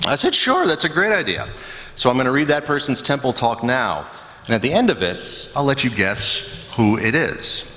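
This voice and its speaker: An American man speaks as a pastor reading a prepared church address. His voice is bright and forward, and his pitch moves up and down in a lively way. Long reverberation from a large hall trails behind his words. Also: moderate pace.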